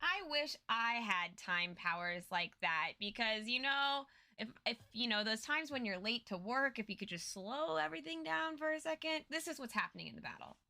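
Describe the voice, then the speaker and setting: A woman's voice: fairly high pitch, lively intonation, moderate pace, gravelly, few pauses, pushed and bright. A woman co-hosting a recap show, giving casual commentary with playful, joking interjections. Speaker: co-host of a lore recap show.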